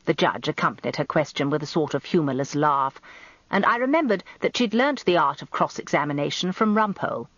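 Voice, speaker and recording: Lafı süsleyen, kendini beğenmiş İngiliz hanımefendi. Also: female voice, low-pitched, a little fast, dry recording, muffled recording. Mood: arch. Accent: English. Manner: arch, confiding, expressive storytelling. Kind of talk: first-person radio drama narration.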